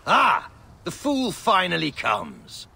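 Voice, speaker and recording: An actor playing a cruel Roman villain in a video game, mocking and menacing. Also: male voice, high voice, wildly swooping pitch, takes it slowly, gravelly, bright, forceful tone, slightly rough, heavily acted. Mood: taunting. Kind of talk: dramatic video game acting.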